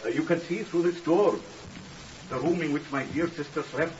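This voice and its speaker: An actor playing an earnest, stiffly formal young Welsh scholar in a 1950s radio drama, polite and deferential with singsong phrasing. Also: male voice, fairly high pitch, moderate pace, a little mellow, far from the mic, lightly acted. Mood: earnest, insistent. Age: young adult. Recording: muffled hissy old radio recording, off mic.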